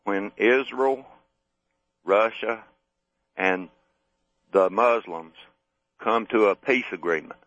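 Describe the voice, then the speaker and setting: A man calling into a radio show, stating his views in a flat, matter-of-fact way. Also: lowish voice, slow, long pauses, no room sound. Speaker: caller. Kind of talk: phone-in call. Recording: dull lo-fi phone line.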